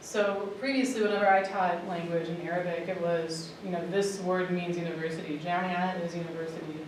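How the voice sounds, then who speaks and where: A woman with a slightly low voice gives a talk like a teacher, loose and conversational, full of fillers. She sounds distant from the mic. The room rings with heavy echo, and a faint hum sits under her voice. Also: steady tone, brisk. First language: English. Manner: casual and chatty, with many fillers like "um" and "like". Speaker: language teacher presenting a workshop. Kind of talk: conference presentation talk. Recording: echoey room, voice from far off.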